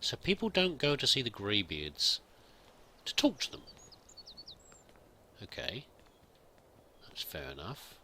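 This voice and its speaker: A man commenting on his roleplay gameplay, with a very bright, sharp voice that swings lively up and down in pitch. He muses aloud in a chatty way and reads game text as he goes. A faint noise sits under the voice. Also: takes it slowly, long pauses. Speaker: let's play host.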